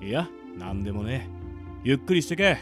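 A man playing a hearty, rough-spoken drinker at a festival in a radio drama, familiar and folksy. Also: medium pitch, very animated, fairly quick, over music, natural voice. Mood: knowing, easygoing.

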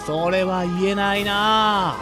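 A man playing a gleefully brutal bandit fire mage in an amateur radio drama, with rough, taunting speech. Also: high voice, fairly even intonation, takes it slowly. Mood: callous, taunting. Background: music.

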